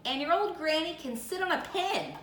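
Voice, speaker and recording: A woman with an American accent voicing a rude, dismissive male pig yelling curtly in a storybook. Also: extremely high pitch, big pitch swings, normal speed, pitched above the performer's own voice, heavily acted. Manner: curt, yelling. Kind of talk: storybook character voice. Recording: roomy echo with faint noise.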